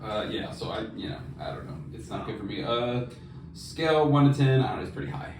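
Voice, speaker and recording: An average-pitched man chats casually as a podcast co-host, joking at his own expense. The sound is echoey and slightly distant, with a faint layer of noise behind him. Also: brisk.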